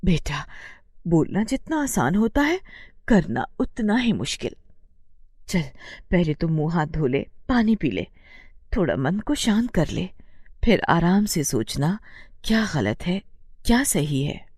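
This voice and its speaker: A woman's voice: fairly low pitch, sing-song, unhurried, pauses often, darkish tone, husky, no room sound, clean studio sound, exaggerated voice. A woman voicing a cautious, caring middle-aged village mother, admonishing in a motherly way in a moral story. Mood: soothing advice. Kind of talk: acted dialogue for moral story.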